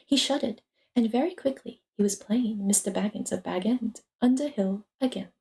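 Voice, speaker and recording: A young woman speaks as a streamer sharing a story reading. Her voice is on the high side, with a dark, mellow tone that has little sharpness. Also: creaky. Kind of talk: dramatic storybook narration and casual chat.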